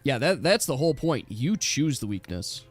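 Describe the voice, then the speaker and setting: A man's voice: medium pitch, very animated, moderate pace. A man acting as game master of a casual tabletop role-playing game, chatty and explaining the rules as he goes.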